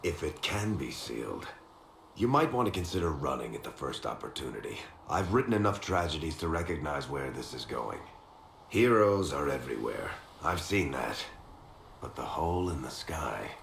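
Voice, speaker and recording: Roomy, echoing game audio with noise behind a very deep male voice. a worldly storyteller rogue, sardonic and conversational, as if swapping stories. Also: big pitch swings, normal speed, fairly bright tone, full character voice. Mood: grim, wry. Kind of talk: video game dialogue acting. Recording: roomy echo, clear background noise.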